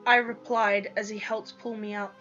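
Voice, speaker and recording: A woman's voice: mid-range voice, level tone, normal speed, bright, forceful tone. A young woman giving a dramatic first-person reading of a story, on a lo-fi recording with music behind. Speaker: reader of own story.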